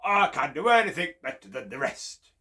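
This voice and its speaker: A big blustering bear from a children's storybook, bragging slowly and loudly about being the best, as a man puts him on. He speaks in a lively voice with lots of swing in it. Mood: boastful. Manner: slow, loud, booming boasts. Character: boastful big male bear, proud and blustering.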